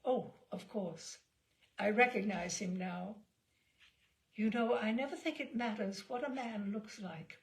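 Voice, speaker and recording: A woman reading the part of a prim, coolly polite spinster passenger in a measured, genteel manner. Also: mid-range voice, lightly acted, low-quality recording. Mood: feigned indifference.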